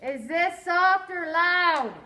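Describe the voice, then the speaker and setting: A woman teaching a science lesson to a class, quizzing her students in a slow, deliberate way. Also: very high voice, pushed and bright.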